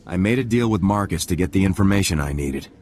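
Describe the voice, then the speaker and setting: A man voicing a stoic, dutiful soldier in a video game, measured and terse. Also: deep voice, animated, darkish tone. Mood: firm. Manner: measured, terse, matter-of-fact.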